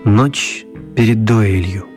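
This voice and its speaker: A man's voice: fairly low pitch, takes it slowly. An actor narrating an audio drama as a writer telling his own magical tale. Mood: storytelling. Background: music.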